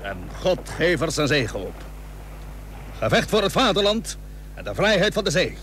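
Animated, high-voiced naval commander. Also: male voice, moderate pace. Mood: earnest, resolute. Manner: earnest, commanding, solemn, archaic formal wording. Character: resolute Dutch naval commander rallying his captains, 17th century. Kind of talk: Dutch radio play acting. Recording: noisy old radio drama recording.

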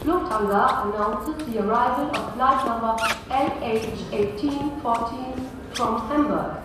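An actress playing an airport public address announcer, giving formal flight announcements in a radio drama. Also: female voice, fairly high pitch, a little slow, lightly acted. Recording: distant echoing airport tannoy, noisy.

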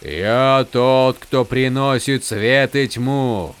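A man's voice: medium pitch, clear, resonant tone, pitched above the performer's own voice. Man voicing an ancient thunderous storm god, drawn out. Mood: imperious. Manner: solemn, slow, fateful pronouncements.

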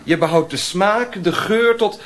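An actor in a radio drama playing a refined, fussy French colonial lieutenant with an effusive, precious manner. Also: male voice, high-pitched, a little slow, fairly bright tone. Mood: rapturous, insistent.

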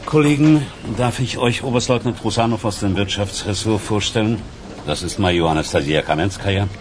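A man playing a brisk police chief in a German radio crime drama. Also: lowish voice, noisy background, close to an everyday voice. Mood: brisk, formal. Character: brisk male police chief introducing colleagues.

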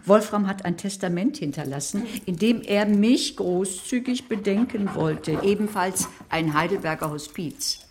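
An actress playing a wry, scheming female carer who narrates a radio crime drama in a dry, measured, sardonic way. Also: medium pitch, animated, a little mellow, slight background noise. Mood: coolly defiant. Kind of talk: radio play narration and dialogue.

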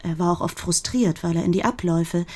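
A woman playing a grieving, protective older sister who gives an earnest, confiding statement in a German radio crime drama, with a husky voice. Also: lowish voice, brisk, dark tone. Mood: earnest, recounting. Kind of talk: German radio play acting, recounting testimony.

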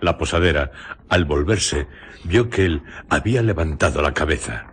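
A man with an extremely low voice narrates a story for radio. He delivers the literary text phrase by phrase, in a measured way, with faint noise on the recording. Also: lively intonation.

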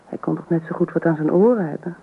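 An earnest young wife, tinny sound. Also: female voice, fairly low pitch, quick pace, vocal fry, breathy edge. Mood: earnest, worried. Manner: earnest, insistent. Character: earnest, pleading young wife and worried mother. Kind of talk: naturalistic radio drama acting. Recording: old radio play, phone-like sound, noisy.

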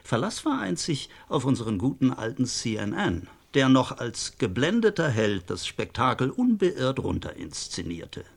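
A wry sociologist on a radio-play talk show. His creaky voice sits at a middle pitch and moves in lively arcs through long, ironic, polished sentences. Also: moderate pace, hardly any pauses, full character voice. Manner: ironic, literary, self-assured. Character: wry, eloquent American sociologist, a talk-show studio guest. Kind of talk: studio interview in a radio drama.

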